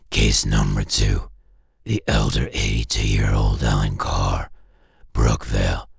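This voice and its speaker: A man narrating a scripted horror story in an ominous, deliberate way, with a gravelly, husky voice and short clipped phrases. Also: very deep voice, dry recording, studio quality. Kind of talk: scripted analog horror narration, second-person.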